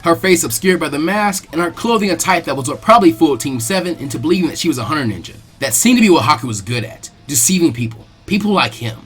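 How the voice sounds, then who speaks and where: A man's voice: a little high, expressive, quick pace, hardly any pauses, a bit bright, soft background music. A man giving a scripted, dramatic narration as the reader of an adventure story.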